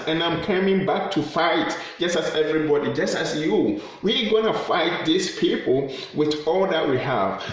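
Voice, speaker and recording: A man with a Ghanaian accent, a campaigner urging listeners to fight corruption in a fervent appeal to camera, repeating phrases for emphasis. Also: on the high side. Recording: very echoey room.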